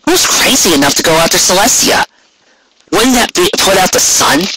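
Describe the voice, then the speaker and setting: A man acts out a cynical young pony mare who deals drugs in a story. The delivery is cocky and brusque, bright and raised in pitch, with light noise on the recording. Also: lively intonation, normal speed, low-quality recording. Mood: stunned. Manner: cocky, brusque street talk. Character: cynical, spiteful young mare drug dealer, cartoon pony.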